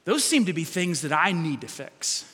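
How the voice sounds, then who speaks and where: Bright-voiced man preaching in an echoing hall. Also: fairly high pitch, moderate pace, slightly rough. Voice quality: loud voice. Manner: animated, self-deprecating storytelling with sudden loud bursts. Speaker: pastor. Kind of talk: sermon. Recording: long hall reverb.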